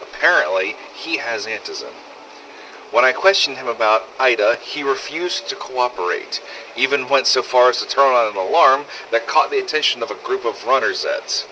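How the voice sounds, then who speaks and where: A bright, forceful male survivor of a zombie apocalypse, acted out by a man, keeps a wry audio diary in game-drama style. His delivery swings widely in pitch, with sardonic emphasis and a weary, sweary edge. Some noise sits behind the voice. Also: expressive, normal speed, poor audio. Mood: matter-of-fact. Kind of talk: dramatised first-person audio diary for a game fan project.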